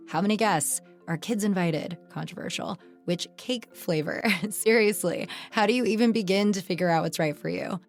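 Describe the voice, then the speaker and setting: Lowish-voiced woman reading an advert. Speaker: advertisement announcer. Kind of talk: scripted conversational advert read. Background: faint music.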